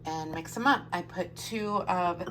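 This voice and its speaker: A woman chatting casually to her camera as a home vlogger, a bit stuffy-nosed, rambling along with soft asides. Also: lowish voice, a little slow, slight background noise, low-quality recording. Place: kitchen at home, indoors.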